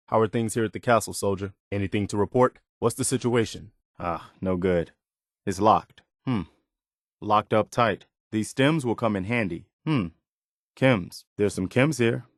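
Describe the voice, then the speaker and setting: A man's voice: on the low side, no room sound, studio quality, natural voice. An actor playing an earnest, dutiful militiaman in a post-apocalyptic video game, sincere, reassuring and plain-spoken. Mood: steady, helpful. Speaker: video game companion character. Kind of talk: scripted game dialogue lines.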